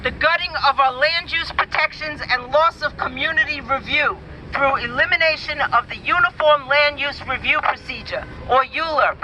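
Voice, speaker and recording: A woman's voice: sits high, moderate pace, few pauses, highs cut off, background noise. A woman speaking at an outdoor protest rally, reading a prepared statement for her community coalition in a firm, declarative way. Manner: firm, declarative, builds lists to a rallying call.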